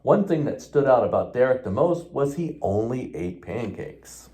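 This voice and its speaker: Man reading a storybook, very lively pitch. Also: moderate pace. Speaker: police officer reading a children's book. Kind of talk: storybook read-aloud.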